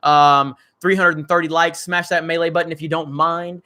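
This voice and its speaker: A man's voice: on the high side, level tone, normal speed, pushed and bright. A man with a crackly voice hosting a casual livestream, reading viewer comments and answering in a blunt, joking way with some swearing. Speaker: channel host.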